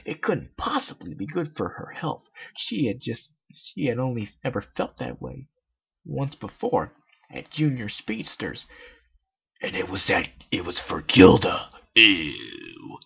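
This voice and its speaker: A man's voice: on the low side, big pitch swings, unhurried, gravelly, breathy edge. A man reading a story aloud as an amateur, with sarcastic, joking commentary. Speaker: amateur fanfiction reader. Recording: dull lo-fi home recording.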